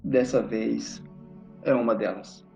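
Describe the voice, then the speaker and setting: A man with a Brazilian accent and an average-pitched voice speaks in character as a tabletop game player. His recording is somewhat dull, with quiet music in the background. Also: fairly even intonation, slow pace, vocal fry. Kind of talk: in-character roleplay talk. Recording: dull lo-fi audio under music.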